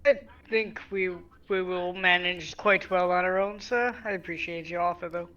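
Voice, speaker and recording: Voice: medium pitch, steady tone, normal speed, sharp tone, muffled recording, natural voice. A player voicing a formal, reserved traveler who politely turns down help in a tabletop game.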